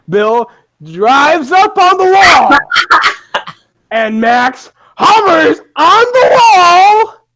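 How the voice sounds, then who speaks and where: A man's voice: very high-pitched, very animated, drawn-out words, pauses often, a crowd in the background, rough recording. A man narrating a comedic script reading, giggly and often slipping into casual joking asides.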